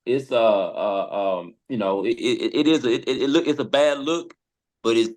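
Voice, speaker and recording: A man's voice: medium pitch, moderate pace. A man on a podcast panel chatting casually in slang, stammering over repeated words as he makes his point.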